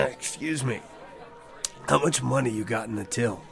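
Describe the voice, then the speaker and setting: A man's voice: medium pitch, vocal fry, fairly bright tone, heavily acted. An actor playing a cocky, sarcastic younger wise guy who talks in wisecracking street slang in a screen drama.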